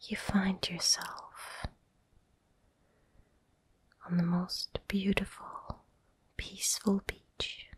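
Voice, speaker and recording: A woman guides a relaxation and sleep visualization in an ASMR whisper. Her voice is extremely breathy and airy, with hardly any tone in it. She speaks very slowly and soothingly, leaving long pauses between short phrases. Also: medium pitch, flat delivery, no room sound.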